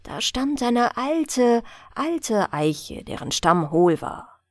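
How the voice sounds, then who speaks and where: A woman reading a bedtime fairy tale in a soothing, expressive storytelling manner. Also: a little high, drawn-out words, dry recording, clean studio sound. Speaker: audiobook narrator.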